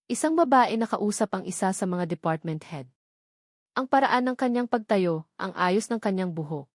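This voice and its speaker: A Filipino woman narrates a dramatic scripted love story. Her voice sits at a middle pitch for a woman, and the recording is dry, with hardly any room sound. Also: lively intonation, normal speed, no echo.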